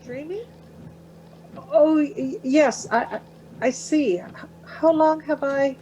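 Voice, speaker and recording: A woman's voice: high voice, slow, warm tone, slight background noise, rough recording. An actress playing a wry, anxious middle-aged mother of teenagers in a radio play. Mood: shaken, anxious.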